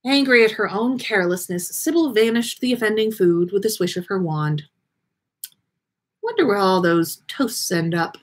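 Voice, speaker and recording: A woman reading a story aloud in a theatrical, expressive way with drawn-out words and pauses, as the host of a livestream. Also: mid-range voice. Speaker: podcast host reading listener fan fiction on a livestream.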